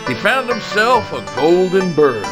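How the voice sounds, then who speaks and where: Western game balladeer, folksy, rhyming, dramatic, grim storyteller, very animated pitch, country music behind. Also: male voice, unhurried. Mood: ominous, folksy. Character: western balladeer telling a grim gold-rush legend.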